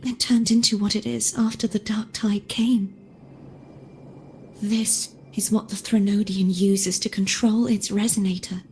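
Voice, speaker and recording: A woman voicing a gentle, solemn young woman in a video game, speaking softly and breathily with measured, weary care. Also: mid-range voice, level tone, a little hiss or hum, natural voice. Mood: solemn, sorrowful. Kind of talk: dramatic video game dialogue.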